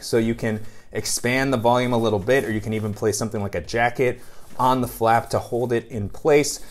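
An American man in his thirties narrating a product review in a casual, conversational and explanatory way. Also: mid-range voice.

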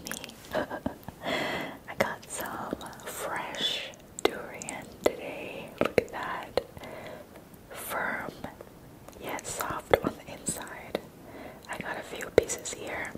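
A young woman whispering softly and chatting through an ASMR eating video, her nose stuffy from allergies, close to a binaural mic. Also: low-quality recording. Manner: soft unvoiced whisper, slow and chatty. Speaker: ASMR host. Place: indoors at a table.

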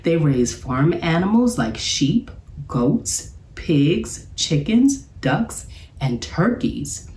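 A woman's voice: deep voice, very animated, drawn-out words, darkish tone, distant mic, heavily acted. A woman voicing a cheerful, instructive male bear professor from a children's storybook, encouraging and emphatic, in an echoey room. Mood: upbeat, instructive. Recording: roomy, echoey sound.

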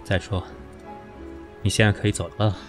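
An actor in an audio drama playing a cool, sharp-tongued company boss with a dry, cutting way of speaking. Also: male voice, on the low side, flat delivery, a little fast, faint music behind, lightly acted.

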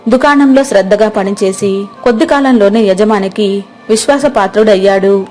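Female storyteller, scripted moral-tale narration, medium pitch. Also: moderate pace, darkish tone.

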